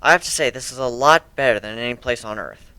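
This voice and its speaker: A man's voice: mid-range voice, quick pace, bright, forceful tone, clear, resonant tone, dry recording, natural voice. A man voicing a wistful, kind male griffin in a dramatic reading, talking in a hesitant, reflective, conversational way. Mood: wistful, calm. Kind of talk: dramatic reading of a fan story.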